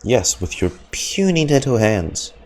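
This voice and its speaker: A man's voice: mid-range voice, big pitch swings, unhurried, a little hiss or hum. A man reacting casually to a video game on a live stream, with offhand muttered asides.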